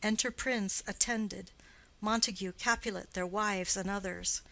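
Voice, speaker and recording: A woman's voice: mid-range voice, steady tone, unhurried, vocal fry, fairly bright tone, low-quality recording. A woman reading a play aloud as a scripted audiobook, announcing names and stage directions. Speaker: audiobook reader.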